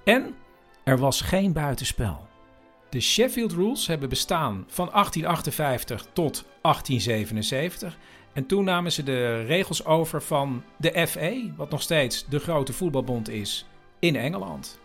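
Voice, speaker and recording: A man's voice: fairly high pitch, lively intonation, unhurried, fairly bright tone, faint music behind. A man hosting a podcast, narrating and explaining in an informal style. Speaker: podcast host.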